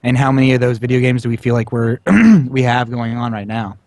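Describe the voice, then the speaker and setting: A man's voice: mid-range voice, fairly quick, darkish tone, dry recording. Rambling man with a dry, close sound. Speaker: podcast co-host. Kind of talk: casual group chat. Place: remote online voice call.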